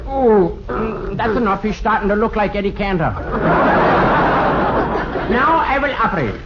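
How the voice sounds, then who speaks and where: An actor playing a zany, boastful, incompetent surgeon in 1950s radio comedy, theatrical and mock-pompous, with old-fashioned inverted phrasing. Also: male voice, high voice, lively intonation, unhurried. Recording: noisy, muffled 1950s radio recording.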